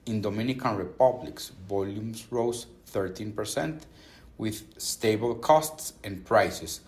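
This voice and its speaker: Man, slightly low voice, formal executive, scripted earnings presentation, measured delivery. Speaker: company executive presenting results.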